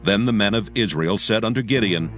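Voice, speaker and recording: A man's voice: fairly low pitch, a little fast, fairly bright tone. A man narrating a dramatized scripture story in a solemn, archaic storytelling style. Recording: music behind the voice. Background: clear background music.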